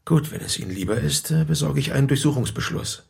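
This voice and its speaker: Gravelly, persistent police detective. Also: male voice, medium pitch, animated, fast talker, a little mellow, heavily acted. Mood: insistent. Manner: polite but insistent. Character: calm, persistent male police detective questioning a householder. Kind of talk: radio play acting.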